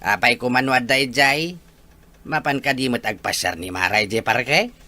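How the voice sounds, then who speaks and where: A man's voice: mid-range voice, moderate pace, pushed and bright, natural voice. A man playing a casual, skeptical older husband in an audio drama, with an Ilocano Filipino accent.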